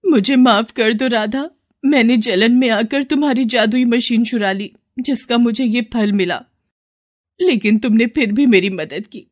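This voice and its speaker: Guilty neighbour woman, played by a female narrator; high, loud, pleading, storybook melodrama. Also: measured pace. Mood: pleading, remorseful. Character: jealous neighbour woman turned tearfully remorseful.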